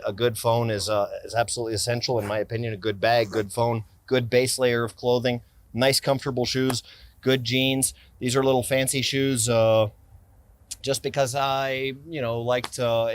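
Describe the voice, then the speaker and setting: A man in his forties with an American accent, talking casually about his everyday carry gear while seated in a city park, rambling and restarting sentences. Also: mid-range voice. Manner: rambling, self-interrupting, enthusiastic about gear. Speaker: gear company owner and vlogger. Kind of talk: casual gear-review vlog talk. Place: outdoor city park, seated on stone ledge. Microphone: camera on tripod a few feet away, handheld close-ups.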